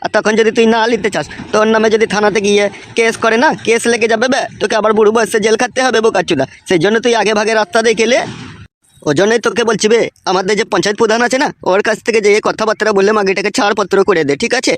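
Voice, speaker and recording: A man acts out a nosy, cheeky village man from a comedy cartoon, with a rural West Bengal accent. He talks extremely fast in a high voice, crude and pushy as he hands out advice. The sound is close and dry. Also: steady tone, no room sound, close to an everyday voice. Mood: insistent advising. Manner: crude, swearing, teasing and insistent. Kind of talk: comic cartoon dialogue.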